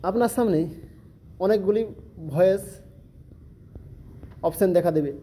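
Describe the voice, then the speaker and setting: A man's voice: sits high, unhurried, warm tone. A man giving a step-by-step spoken app tutorial in an instructional, repetitive way. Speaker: tutorial presenter.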